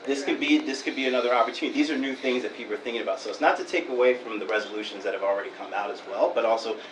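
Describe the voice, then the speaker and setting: A man explains a survey at a public meeting, speaking quickly in a lowish voice and agreeing readily. He is caught by a distant microphone in an echoey room. Steady background noise sits under his voice. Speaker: project official presenting a survey.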